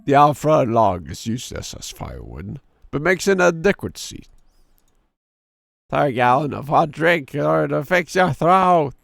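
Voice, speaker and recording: A hoarse man in his thirties with a sore throat, streaming a game and reading its story text aloud in a deeper storyteller voice. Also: big pitch swings, a little slow, a bit bright, dry recording, studio quality. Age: 30s. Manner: drops into a deep storyteller voice for the narration.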